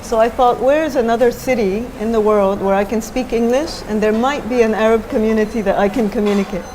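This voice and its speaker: A woman's voice: on the high side, a little fast, mellow, a little hiss or hum, rough recording. A middle-aged woman from Japan, a designer talking as a guest in an onstage interview, thoughtful and hesitant with many fillers.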